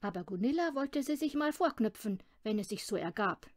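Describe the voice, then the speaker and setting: Female children's audiobook narrator, higher register, close dry sound. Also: expressive, a little fast, no echo. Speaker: audiobook reader. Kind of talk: scripted children's story narration.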